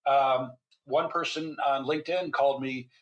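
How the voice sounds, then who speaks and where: A man's voice: mid-range voice, fairly even intonation, normal speed, muffled recording. An older man, a physician and author, talking as a podcast guest in a chatty, storytelling way with wry asides. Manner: chatty storyteller, wry humor, frequent restarts. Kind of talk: casual podcast interview.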